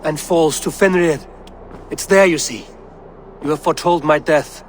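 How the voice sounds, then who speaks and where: An actor playing a proud, defiant Norse god and war leader in a dramatic video game. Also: male voice, medium pitch, measured pace, a little hiss or hum, close to an everyday voice.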